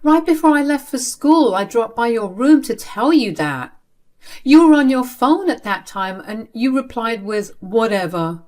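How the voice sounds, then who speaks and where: A woman's voice: fairly low pitch, expressive, moderate pace, fairly bright tone. A wronged teenage daughter in a family drama story, acted by a woman narrator. She is exasperated and indignant, defending herself and pleading to be treated fairly. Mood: tired, defensive.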